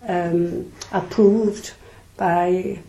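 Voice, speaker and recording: Older nun, low mellow voice. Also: female voice, steady tone, poor audio. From South Wales. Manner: measured, thoughtful, with frequent pauses and ums. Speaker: nun, interview guest. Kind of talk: reflective interview answers.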